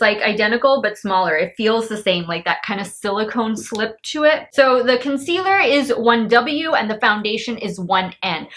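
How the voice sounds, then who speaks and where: Woman, late 30s, beauty vlogger; slightly high, bright voice; friendly, chatty delivery. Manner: chatty and upbeat, talks straight to viewers. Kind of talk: casual product review to camera.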